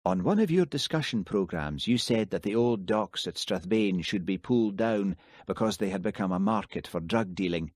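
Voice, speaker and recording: A man reading aloud as a patient, persistent police constable who stays calm. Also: lowish voice, moderate pace, few pauses, dry recording, clean studio sound.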